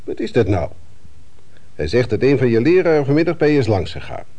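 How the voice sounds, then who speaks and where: An actor playing a fatherly but sceptical family doctor in a Dutch radio play, questioning deliberately with thoughtful hums. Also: mid-range voice, sing-song, dark tone. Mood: probing, friendly.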